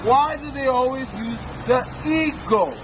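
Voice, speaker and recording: A man's voice: very high-pitched, unhurried. A man from El Salvador preaching outdoors on a city street, emphatic and full of rhetorical questions. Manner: emphatic, rhetorical questions, commanding scripture reads. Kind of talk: street sermon. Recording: street traffic noise.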